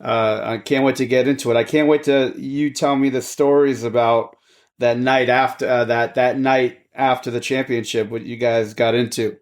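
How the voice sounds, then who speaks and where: A man's voice: mid-range voice, fairly even intonation, moderate pace. Easygoing man in his forties. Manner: easygoing, many fillers. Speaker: podcast co-host. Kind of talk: casual sports chat.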